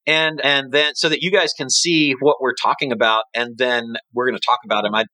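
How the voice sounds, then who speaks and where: A man's voice: mid-range voice, steady tone, brisk, pushed and bright, no echo, poor audio. A middle-aged man co-hosting a casual business podcast, rambling and often restarting his sentences. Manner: rambling, self-correcting. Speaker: podcast co-host.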